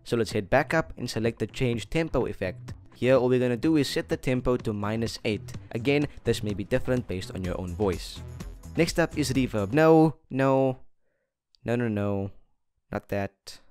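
A man's voice: medium pitch, normal speed, a little mellow. A young man hosting a scripted but casual video tutorial, addressing viewers in a chummy, jokey way. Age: young adult. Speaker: tutorial host. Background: background music.

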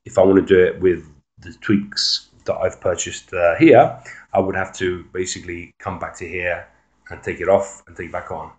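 Very low-voiced man, casual tech walkthrough. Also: fairly even intonation, normal speed. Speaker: amateur phone tech reviewer. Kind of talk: casual unscripted screen-recording walkthrough.

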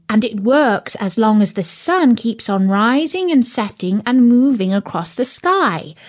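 A woman voicing a calm, patient female dog who explains things slowly. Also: fairly high pitch, voice pushed up, exaggerated voice.

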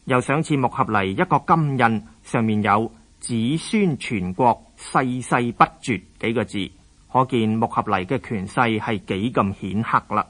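A man's voice: medium pitch, moderate pace, a bit bright. Man, mid-range voice, Hong Kong Cantonese accent, scripted history narration, radio drama narrator.

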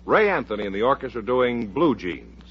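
Animated American radio announcer, a man. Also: mid-range voice, normal speed, slightly rough. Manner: earnest, formal delivery. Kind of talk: scripted old-time radio announcing, public service appeal. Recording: muffled vintage radio recording.

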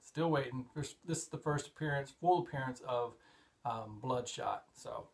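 A middle-aged man talks through his collection in an easygoing, rambling way. He trails off and restarts with plenty of "uh"s, and gets excited over each find. His voice sits in an ordinary middle pitch, picked up by a camera set a few feet back in a cluttered hobby room. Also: normal speed, gravelly, a little hiss or hum. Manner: rambling and enthusiastic, frequent "uh" fillers. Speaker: comic collector and show host. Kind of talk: casual show-and-tell vlog.

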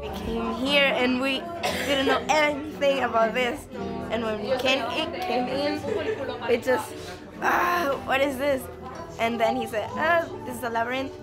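A woman in her twenties casually recounting an experience in an animated, chatty way. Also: high-pitched, measured pace. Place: dark indoor club venue. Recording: loud club music and crowd.

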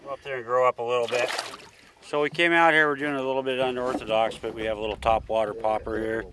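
A man fishing from a small boat outdoors, casually explaining his rig to the camera with excitement. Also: medium pitch, fast talker, noisy background. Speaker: angler on a boat.

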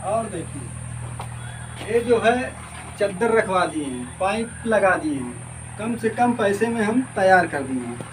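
Man in his thirties, high voice, farm tour, outdoor field recording, loud insect noise behind. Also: lively intonation, a little slow. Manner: plain, repeats filler "jo hai" often. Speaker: goat farmer giving a farm tour. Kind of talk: casual walking tour explanation. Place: outdoors on a rural farm among fields and brick sheds. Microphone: phone camera held by someone else, moving.

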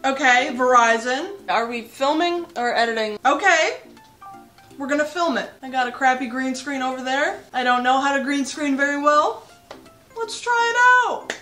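A young woman with a bright, slightly raised voice chats to camera from her bedroom, joking at her own expense and giggling between lines. Soft music plays underneath. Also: high voice, lively intonation, moderate pace. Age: young adult. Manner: chatty, self-mocking, giggly. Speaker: comedy YouTuber. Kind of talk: casual chat to camera. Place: small bedroom.

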